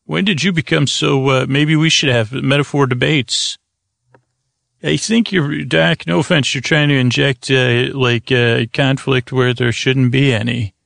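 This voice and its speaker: Creaky-voiced man rambling sleepily. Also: medium pitch, steady tone, fairly bright tone, no echo, clean studio sound. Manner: meandering, halting, absurdist one-sided conversation with an imagined doctor. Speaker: podcast host. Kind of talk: rambling improvised bedtime comedy monologue.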